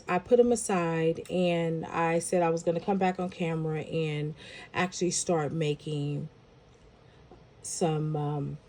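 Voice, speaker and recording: A woman's voice: on the low side, a little mellow. A woman with a nasal, congested voice from a head cold, chatting casually as she walks viewers through making a necklace. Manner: chatty, thinking aloud while working. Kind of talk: casual craft tutorial talk.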